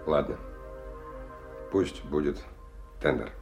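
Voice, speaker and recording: An actor playing a hard-bitten, world-weary stalker who narrates a radio drama in a wry, colloquial, husky voice. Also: male voice, extremely low pitch, animated, slow pace, music in the background, full character voice. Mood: wary, brooding. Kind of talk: 1990 Russian radio drama, first-person narration.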